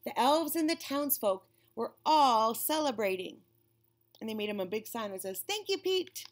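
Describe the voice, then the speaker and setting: A woman with a high-pitched voice reads to young children. She tells it expressively and deliberately, like a classroom storyteller, stretching phrases out. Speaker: children's storybook reader, teacher. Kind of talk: read-aloud for young children. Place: classroom, indoors.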